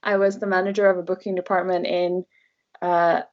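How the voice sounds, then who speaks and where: A woman's voice: lowish voice, little pitch movement, a little fast, dull audio. A young woman from Norway, a native Norwegian speaker, answering interview questions conversationally in English as a travel blogger guest.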